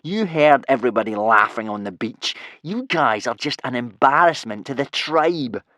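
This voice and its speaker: A man voicing a burly, gloating teenage Viking bully with a sneering, drawn-out taunting delivery in a storybook. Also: mid-range voice, lively intonation, pushed and bright, dry recording, pitched above the performer's own voice. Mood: mocking.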